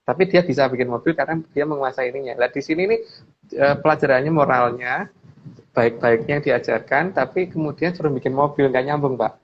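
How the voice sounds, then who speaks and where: A man's voice: medium pitch, a little fast. A middle-aged man talks through his views in a casual discussion. He circles back over phrases and checks for agreement, on a slightly dull recording with some background noise. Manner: repeats phrases while explaining, adds frequent tags seeking agreement. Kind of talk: casual discussion, explaining opinions.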